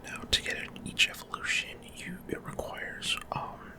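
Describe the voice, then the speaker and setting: Whispered, hesitant ASMR gameplay commentary. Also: unhurried. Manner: hesitant, unscripted explaining. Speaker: video game streamer.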